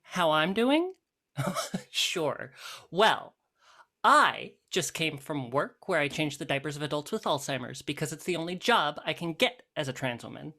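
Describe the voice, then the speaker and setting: A woman's voice: low voice, sing-song, unhurried, sharp tone, heavily acted. A woman playing a sarcastic, catty, world-weary care worker in a play reading, with a dry mock-sweet delivery. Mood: bitterly sarcastic.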